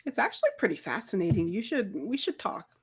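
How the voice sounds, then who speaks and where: A woman's voice: mid-range voice, big pitch swings, fairly quick, slightly rough. A woman taking part in a tabletop role-playing game as a player, chatting casually about what her character does. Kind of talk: casual roleplay chat.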